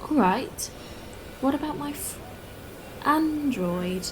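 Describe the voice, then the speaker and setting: A woman playing a kind, anxious, protective space traveller in an audio drama, earnest and expressive. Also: high voice, slow pace, a little hiss or hum, rough recording. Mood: tense, guarded.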